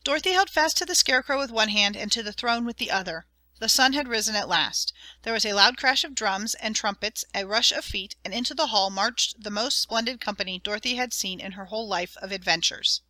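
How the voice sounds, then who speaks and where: A woman narrating a fairy-tale audiobook in an expressive dramatic reading. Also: mid-range voice, fairly quick, no echo. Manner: clear, expressive storytelling.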